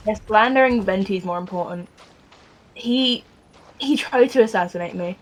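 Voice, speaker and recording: A young woman joking casually as she commentates on a friend's gameplay over a low-quality voice call. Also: normal speed. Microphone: voice call app.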